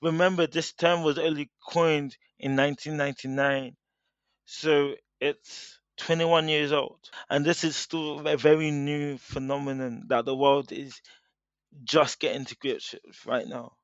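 Measured man explaining. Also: fairly high pitch, flat delivery, no room sound, lo-fi sound. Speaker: guest speaker. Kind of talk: interview, explaining at length.

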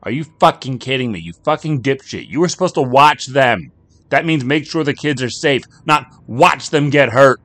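A man voicing a shrill, angry working-class wife who screeches and swears. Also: moderate pace, fairly bright tone, dry recording, lightly acted. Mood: furious. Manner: screeching, furious.

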